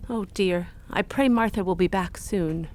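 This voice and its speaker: A woman's voice: mid-range voice, measured pace, a little mellow, a little hiss or hum, close to an everyday voice. A woman playing a fond, fussing mother in an audio drama, speaking affectionately. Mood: worried.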